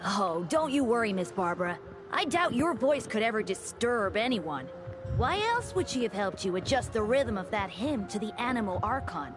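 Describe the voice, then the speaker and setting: A woman voicing a courteous, gently teasing young deacon, devout and polite, in a video game over music. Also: medium pitch, very animated, normal speed, close to an everyday voice. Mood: reassuring.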